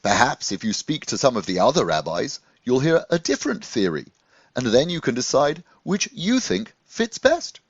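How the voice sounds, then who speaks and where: A patient storybook rabbi, played by a man, explains with sympathy in a lifted voice. His delivery rises and falls a great deal as he gently teaches a young listener. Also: medium pitch, huge pitch swings, normal speed, husky, no echo, lo-fi sound, pitched above the performer's own voice, full character voice. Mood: sympathetic, patient.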